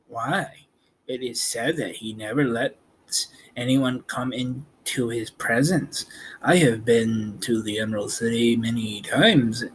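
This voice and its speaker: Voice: mid-range voice, takes it slowly, slight background noise, close to an everyday voice, low-quality recording. A man voicing a thoughtful farmer who speaks with awe in a storybook reading. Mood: doubtful, awed.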